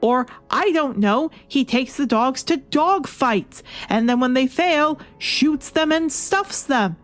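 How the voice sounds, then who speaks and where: High-pitched woman giving emphatic review commentary. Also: expressive, takes it slowly. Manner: emphatic, sarcastic, incredulous. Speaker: comic book reviewer. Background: music behind the voice.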